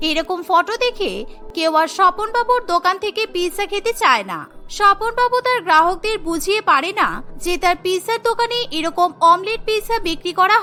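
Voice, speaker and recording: Female narrator for scripted cartoon tales; extremely high, forceful, bright voice over background music.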